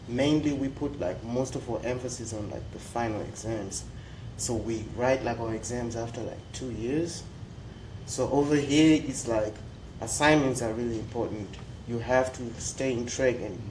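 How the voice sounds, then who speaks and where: A man's voice: mid-range voice, background noise. A young man on a student panel, speaking thoughtfully with some hesitation. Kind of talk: casual panel discussion. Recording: echoey room.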